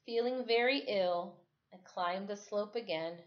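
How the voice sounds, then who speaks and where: Woman reading a story, dull lo-fi sound. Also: mid-range voice. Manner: dramatic, deliberate phrasing with acted-out exclamations. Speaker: storyteller reading a children's novel aloud. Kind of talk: expressive first-person story read-aloud. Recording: dull lo-fi recording.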